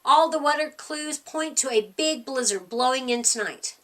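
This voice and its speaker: A woman's voice: high-pitched, moderate pace, far from the mic, lightly acted. A woman voicing an earnest, cautious young male frog forecaster in a storybook, speaking insistently.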